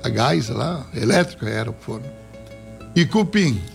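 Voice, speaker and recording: A man's voice: mid-range voice, animated, a little slow, creaky, faint music behind. A man with a Rio Grande do Sul accent hosting a casual radio show, reading listener messages in a jokey, chatty way and laughing easily. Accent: Rio Grande do Sul Portuguese. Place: radio studio.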